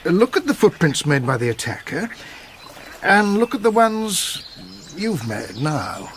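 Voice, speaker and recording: Sly police inspector, radio mystery: falsely friendly, very varied intonation, slight noise on the recording. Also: male voice, high voice, very animated, unhurried, a bit bright, exaggerated voice. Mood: sly, insinuating. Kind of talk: radio drama acting.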